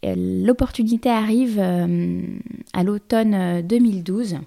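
A woman's voice: mid-range voice, unhurried, gravelly, mellow. A woman in her thirties, a guest in an interview, telling her story in a hesitant way with many fillers and restarts. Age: 30s. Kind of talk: conversational interview.

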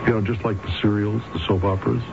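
An actor playing a persistent, patient but skeptical psychiatrist in a 1970s radio drama, reasoning and questioning. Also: male voice, lowish voice, fairly even intonation, fast, vocal fry. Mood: gently challenging. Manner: questioning, reasoning, hesitant fillers. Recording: dull noisy vintage radio recording.

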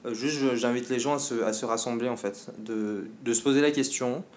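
A man's voice: medium pitch, steady tone, a little fast, slight background noise. A man, a podcast guest, speaking earnestly while searching for his words. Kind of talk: casual conversation.

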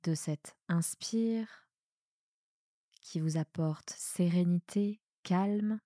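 Slow, low-pitched woman guiding meditation. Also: dry recording, clean studio sound. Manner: calm, soothing, measured phrasing with pauses. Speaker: yoga nidra guide. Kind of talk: guided meditation, relaxation instruction.

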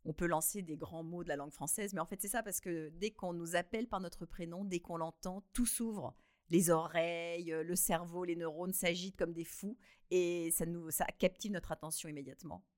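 Woman, interview guest; animated, laughing, halting with repeated words. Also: lowish voice. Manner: expressive, laughing, loud bursts of emphasis; talks with many hesitations and repeated words. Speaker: guest author. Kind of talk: radio interview conversation.

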